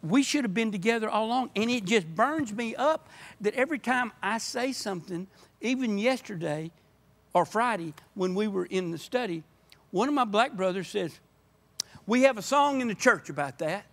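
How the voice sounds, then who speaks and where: Impassioned older man, high voice. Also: expressive, moderate pace. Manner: passionate, emphatic. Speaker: church member, podcast guest. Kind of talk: earnest conversation. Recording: podcast audio.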